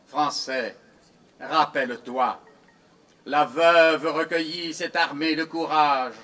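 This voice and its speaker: A man reciting his own commemorative poem in a solemn, theatrical, declamatory style with measured phrasing. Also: a little high, fairly even intonation, sharp tone.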